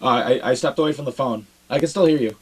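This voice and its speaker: A woman's voice: a bass voice, fast talker, breathy edge, poor audio. A woman playing a distracted, indifferent friend on the phone, hesitant and offhand. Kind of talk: comedy sketch phone-call acting.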